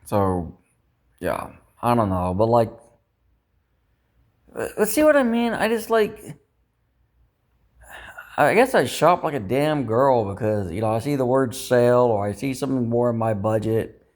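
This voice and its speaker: A man's voice: medium pitch, sing-song, unhurried, long pauses. A man talking casually in a rambling monologue, second-guessing himself and ruminating, with some mild swearing. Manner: self-doubting, ruminating, mildly profane. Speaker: vlogger.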